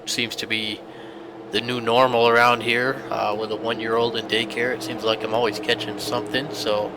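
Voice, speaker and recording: A man's voice: medium pitch, little pitch movement, moderate pace, heavy background noise. A young man commentating a sim race, congested from a sinus infection, chatty and rambling with many fillers. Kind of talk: sim racing broadcast commentary.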